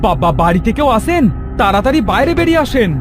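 A man's voice: very high voice, big pitch swings, fast, music in the background. A man playing an alarmed, respectful villager bringing bad news urgently in a cartoon. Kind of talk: cartoon acting.

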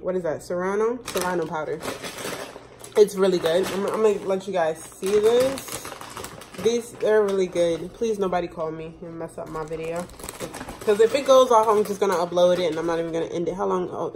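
A woman's voice: mid-range voice, a little hiss or hum. Chatty young woman vlogging in her kitchen. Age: 20s. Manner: chatty and rambling, lots of fillers, laughs often. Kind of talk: casual chat. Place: home kitchen, indoors. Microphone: phone camera propped close on the counter.

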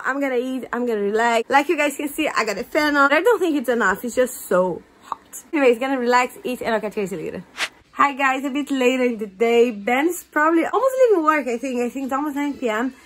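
Casual female vlogger, young, Brazilian accent, native Portuguese speaker, high register, talking into a phone at home. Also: animated, fast, a bit bright. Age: young adult. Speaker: daily vlogger and mother. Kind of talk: casual chat to camera. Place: indoors at home, kitchen and living room. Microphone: phone at arm's length. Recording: noisy home with appliance and child sounds.

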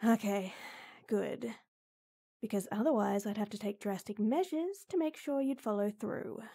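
A woman playing a gruff but caring tomboy best friend in an intimate audio roleplay, blunt and exasperated, with frequent sighs. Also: medium pitch, measured pace, warm tone. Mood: scolding, concerned.

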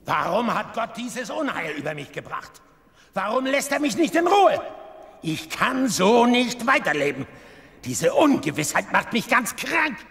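A man's voice: extremely high pitch, sing-song, unhurried, creaky, fairly bright tone, full character voice. An actor playing a troubled, volatile king in a theatrical, commanding manner in a Bible audio drama. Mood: anguished. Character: troubled, volatile king, swinging from anguish to booming festivity.

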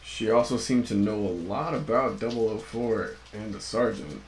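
A man's voice: fairly low pitch, moderate pace, slight background noise, natural voice. A man playing a young, curious and pushy new hire in an audio drama, blunt and questioning. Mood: curious, insistent.

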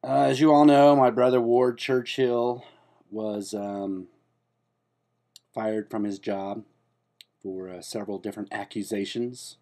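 A rambling, self-important man, played by a man, stumbling through his points in a slow, drawn-out way. His voice sits low with a mellow, dark tone. He delivers every absurd claim with deadpan earnestness. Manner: hesitant and rambling, full of fillers and restarts. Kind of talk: comedic spoof monologue to camera.

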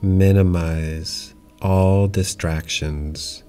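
A man guiding a yoga nidra relaxation in calm, measured instructions, leaving long pauses between short phrases. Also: deep voice, faint music behind. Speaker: yoga nidra meditation guide. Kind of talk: guided meditation, slow calm instruction.

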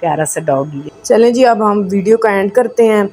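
A woman's voice: mid-range voice, lively intonation, moderate pace, darkish tone. A woman from Pakistan with a Pakistani accent narrates a casual vlog voice-over, chatting directly to her viewers. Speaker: vlogger. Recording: noticeable background noise.

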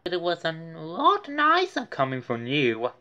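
A woman's voice: deep voice, wildly swooping pitch, measured pace, sharp tone, highs cut off, voice pushed up, exaggerated voice. A woman voicing an easygoing, joking uncle from a storybook in a plain, friendly manner.

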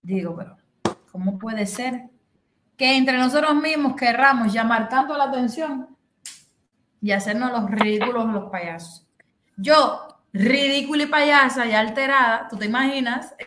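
Cuban woman, middle-aged, high voice, animated storyteller, echoey room, video call. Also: measured pace, background noise. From Cuba. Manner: animated storytelling, acting out the other people in the story. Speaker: host. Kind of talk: casual chat on a video call. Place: bedroom, indoors.

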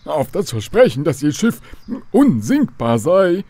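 An actor playing a courteous, old-fashioned, learned professor in a children's radio drama, formal and pedantic. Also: male voice, on the high side, sing-song, unhurried, mellow, no room sound, exaggerated voice. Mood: amused.

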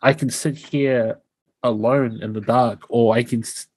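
A man's voice: mid-range voice, fairly even intonation, a little slow, a little mellow, husky, no echo. A young man hosting a podcast over a video call with a headset, talking in an effusive, enthusiastic way. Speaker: podcast host. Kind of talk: casual interview chat. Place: home room, indoors.

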